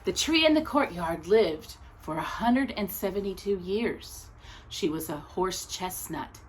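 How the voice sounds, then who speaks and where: A woman reading a children's picture book aloud as a storyteller, with expressive narration and deliberate, dramatic pauses between short phrases. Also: fairly low pitch, slight background noise.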